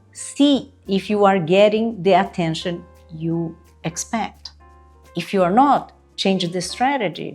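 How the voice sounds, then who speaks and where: A woman with a Brazilian accent speaks in a somewhat low, unhurried voice and talks with deliberate pauses. Her native tongue is Portuguese, and she coaches in an earnest, emphatic way. Soft music plays quietly underneath. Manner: earnest, emphatic, checks in with "right?". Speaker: interview coach. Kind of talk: instructional video talk.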